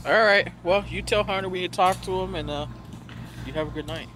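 A man's voice: high voice, fast talker, fairly bright tone. A man improvising as a law officer in an online game, chatting in a joking, folksy way. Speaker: roleplayer playing a law officer. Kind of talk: improvised game roleplay, casual chat. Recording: faintly noisy game voice chat.

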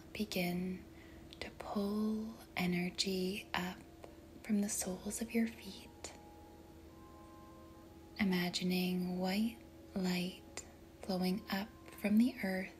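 A woman leading a guided meditation in a soft, breathy, whispery voice, speaking gently and deliberately with long pauses between short phrases. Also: fairly low pitch, slight background noise. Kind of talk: guided meditation, slow and soothing narration.

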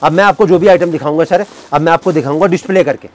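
A man giving an emphatic sales pitch as a wholesale manufacturer, counting and listing items. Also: quick pace, slight background noise. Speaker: wholesale suit manufacturer and seller. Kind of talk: sales pitch to camera in a shop vlog.

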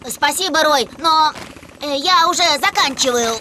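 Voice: high-pitched, a little slow, sharp tone, a little hiss or hum. An actor voicing a helpful, earnest young rescue helicopter in a children's cartoon dub. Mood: pleading, helpful.

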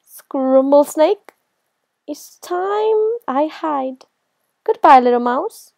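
A woman voicing a sly, easily scared snake in a children's storybook, with a drawn-out, theatrical delivery. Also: on the high side, wildly swooping pitch, vocal fry, mellow, slightly rough, no echo, lo-fi sound, full character voice. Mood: alarmed.

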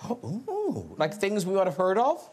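A man's voice: high voice, wildly swooping pitch, moderate pace, breathy edge. A middle-aged man hosting a talk show, firing off mocking asides with frequent laughter in a TV studio. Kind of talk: teasing studio banter. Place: TV studio with a live audience. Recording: loud studio audience and room noise.

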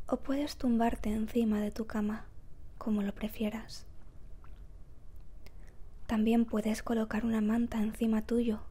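Soft whispery woman doing guided meditation, super slow and even, calm. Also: on the high side, husky. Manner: calm, gentle, measured with long pauses.

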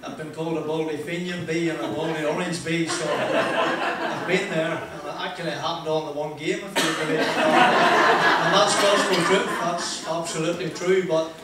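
A man's voice: a little high, fairly even intonation, very noisy. An older man with a Northern Irish accent telling self-deprecating stories at a motivational talk, holding a handheld mic in a large wood-panelled room. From Northern Ireland. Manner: chatty, self-deprecating, frequent "uh" pauses and laughs. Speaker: motivational speaker. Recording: echoey hall, voice from a distance.

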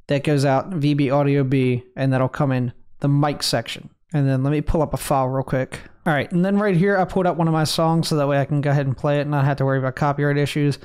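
A man's voice: on the high side, smooth. A man casually walking viewers through his streaming audio setup, rambling a little and using fillers as he goes. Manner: relaxed, rambling explanation with fillers. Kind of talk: casual screen-recorded tutorial.

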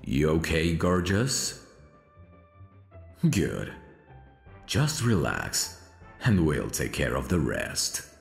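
Man playing a very deep, gravelly suave stage magician. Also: huge pitch swings, reverberant, soft background music, full character voice. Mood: smooth, reassuring. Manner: theatrical, slow, drawn-out delivery. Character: suave male stage magician, cautious, smooth showman. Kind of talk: scripted ASMR audio roleplay.

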